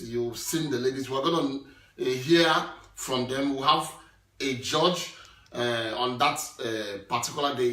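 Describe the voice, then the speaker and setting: A man's voice: medium pitch, sharp tone. A man hosting a casual live interview, talking with many hesitant fillers. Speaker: host. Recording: echoey room, distant mic.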